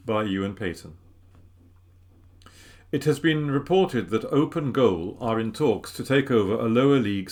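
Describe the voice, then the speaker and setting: A man reading newspaper articles aloud in a steady, even way, recorded at home. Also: medium pitch. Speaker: volunteer newspaper reader. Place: home room.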